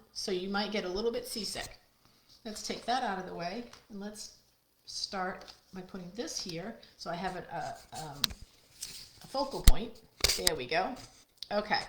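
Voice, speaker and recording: A middle-aged woman with a loud, projecting voice, chatting casually with viewers as she hosts a live card-making stream. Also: medium pitch, a little hiss or hum. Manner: chatty, friendly, talks to viewers by name. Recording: echoey room.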